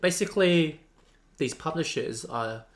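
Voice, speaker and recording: A young man with a hoarse, worn voice, co-hosting a casual chat and rambling with lots of ums and laughs. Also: on the high side, expressive, unhurried, slight background noise. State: hoarse, losing his voice. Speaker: podcast co-host.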